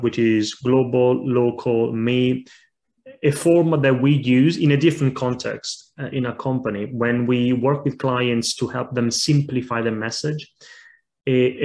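A man's voice: mid-range voice, a little mellow, rough recording. A man in his thirties with an Italian accent who hosts a podcast and asks long, loosely ordered questions, often repeating words as he starts, over a video call. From Italy. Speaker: podcast host. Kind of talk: interview questions. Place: home room, video call. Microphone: wired earphone mic on video call.

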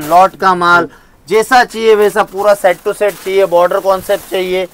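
A man in his thirties giving an emphatic sales pitch while walking through a large indoor saree showroom. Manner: emphatic, persuasive, gestures while talking. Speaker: saree wholesaler. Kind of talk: sales pitch in a shop interview.

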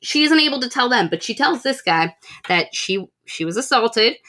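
A young woman co-hosting a casual book podcast, retelling stories in an animated, expressive way with acted-out asides. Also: fairly high pitch, moderate pace. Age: young adult. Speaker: podcast co-host. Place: small room with bookshelves, video call.

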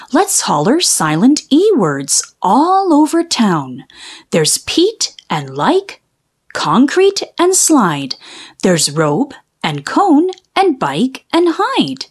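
A woman reading a children's phonics storybook aloud in a careful, deliberate way, stretching out key sounds. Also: a little high, breathy edge.

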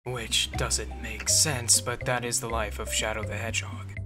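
An actor playing a smug, brooding male hedgehog rival in a comedy cartoon, cold and boastful and quick to snap into yelling. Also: mid-range voice, little pitch movement, music in the background, close to an everyday voice. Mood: cool.

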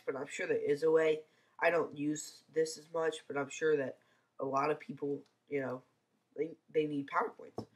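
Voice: medium pitch, a bit bright. A teenager with a stuffy, congested nose from a cold gives a casual, hesitant screencast review, full of ums, over a computer mic. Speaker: software reviewer.

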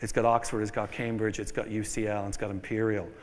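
A man with an Irish accent giving a conference keynote, setting out points in a measured way with fillers and restarts. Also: fairly low pitch, flat delivery. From Ireland. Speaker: keynote speaker. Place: conference hall. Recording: long hall reverb.

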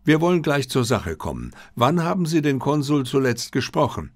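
A man voicing a shrewd, composed detective who speaks in a brisk, courteous, probing manner. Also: mid-range voice, big pitch swings, pitched above the performer's own voice.

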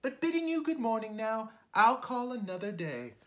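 A man's voice: high voice, big pitch swings, normal speed, pitched above the performer's own voice. A man voicing a timid, polite little female fly in a dainty, wary storybook read-aloud voice. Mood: polite refusal.

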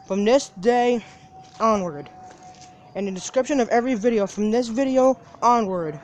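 A man's voice: very high-pitched, normal speed, slight background noise. A seventeen-year-old young man vlogging outdoors by a parking lot, talking into a phone held at arm's length. He rambles, repeats himself and restarts his sentences. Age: teens. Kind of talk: casual daily vlog.

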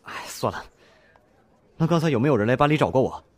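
A man's voice: medium pitch, lively intonation, quick pace, no room sound, natural voice. An actor playing an anxious, wary young male student surviving a disaster in an audio drama. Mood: flustered.

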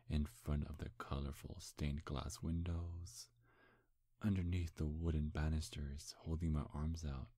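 A man playing a smug, seductive demon in an intimate ASMR roleplay, breathy and husky, teasing in a hushed, hypnotic way. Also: a bass voice, no echo, studio quality, exaggerated voice. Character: seductive, smug male demon posing as a handsome gentleman.

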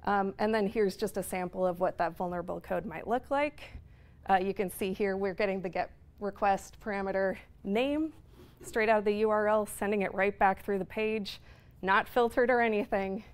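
A woman's voice: mid-range voice, steady tone, normal speed. Woman giving a technical security talk. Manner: explanatory, with frequent fillers. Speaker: conference speaker. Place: lecture room with projector screen.

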